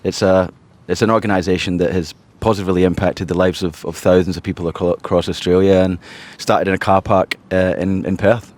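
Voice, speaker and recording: A man with a Glasgow Scottish accent, a podcast guest talking casually, often pausing and restarting his sentences. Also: low voice, steady tone, darkish tone. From Scotland. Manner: hesitant, many restarts and fillers. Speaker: podcast guest, charity founder. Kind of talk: casual interview chat.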